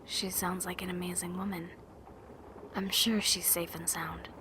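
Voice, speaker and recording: A stoic, protective young woman played by a woman in a fan audio drama. Her voice is on the low side and very unhurried, soft and measured. The amateur recording has clear noise behind it. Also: level tone, close to an everyday voice. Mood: warm, dry. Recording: clearly noisy amateur voice track.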